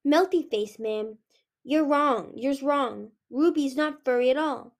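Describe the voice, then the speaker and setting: A flock of impertinent baby dragons, piping up in high, squeaky little voices, as a woman reading aloud plays them. They chatter like small children, stumbling over words and blurting out whatever comes to mind. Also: takes it slowly. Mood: cheeky.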